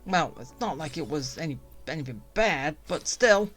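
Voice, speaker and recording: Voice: mid-range voice, expressive, moderate pace, fairly bright tone, faint music behind. A game streamer giving casual, rambling and grumbling commentary while playing. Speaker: gaming streamer.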